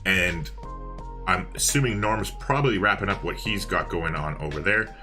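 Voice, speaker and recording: A man with a very low yet bright, forward voice co-casts a game livestream. He talks casually to his chat, thinking out loud as he goes, with music playing behind him. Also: normal speed. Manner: chatty, thinking aloud to viewers and chat. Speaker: co-host of a livestreamed multiplayer strategy game. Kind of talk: casual live stream co-casting.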